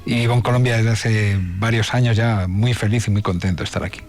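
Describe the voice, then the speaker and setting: A man from Spain with a Spanish accent, an actor and director guesting on a radio show, relaxed and good-humoured. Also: lowish voice, quick pace. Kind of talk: radio interview.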